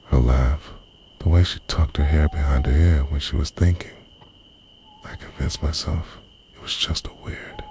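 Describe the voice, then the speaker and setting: A man's voice: rumbling low, noisy background. A man playing a lovestruck, obsessive dream invader in an intimate ASMR monologue, confessional, brooding and half-whispered.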